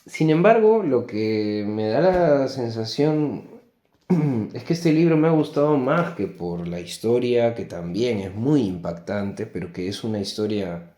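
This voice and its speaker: A man with a Peruvian Spanish accent giving an unscripted book review in a reflective, halting way, often checking in with tag questions. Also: fairly low pitch, expressive, warm tone. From Peru. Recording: echoey, roomy sound.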